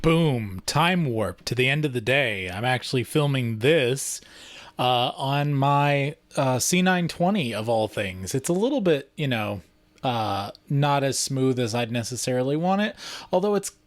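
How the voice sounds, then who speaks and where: A man's voice: medium pitch, expressive, a little slow, no room sound. Casual man vlogging in a dry-sounding space. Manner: informal, thinking aloud while working. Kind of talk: casual vlog talk.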